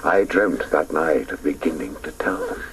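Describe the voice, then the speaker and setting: An actor playing a grown man who recalls his boyhood in a wistful, measured first-person radio drama narration, with a gravelly voice. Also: animated, distant mic. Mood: wistful recollection. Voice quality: deep, gravelly. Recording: noisy old radio broadcast recording.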